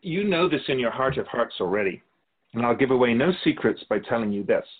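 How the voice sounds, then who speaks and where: A man's voice: mid-range voice, animated, husky. A man voicing a serene otherworldly keeper of souls. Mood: calm, wise. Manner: measured, serene, philosophical, gently lecturing. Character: otherworldly male soul-realm keeper, calm and wise. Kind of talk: radio drama acting. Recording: tinny phone-like sound.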